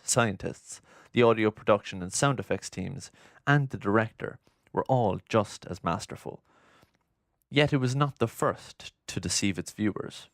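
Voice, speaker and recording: A man's voice: lowish voice, gravelly, no room sound, studio quality. A man narrating a scripted documentary.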